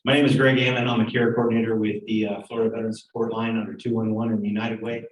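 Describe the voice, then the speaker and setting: A man's voice: on the low side, little pitch movement, quick pace. A man introducing himself as a veteran care coordinator at a meeting, talking plainly with fillers. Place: meeting room. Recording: roomy meeting room, far from mic, faint noise.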